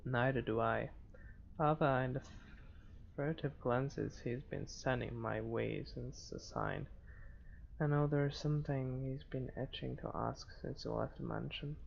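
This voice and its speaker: A man with a heavily gravelly, creaking voice reads story narration from a visual novel as a gaming host. His reading is halting and stumbles at times, on a dull lo-fi microphone with faint noise. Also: medium pitch, fairly even intonation.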